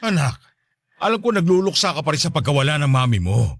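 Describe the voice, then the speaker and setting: A man playing an exasperated father in a Tagalog radio drama, pleading for calm. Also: a little high, fast, no room sound. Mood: placating.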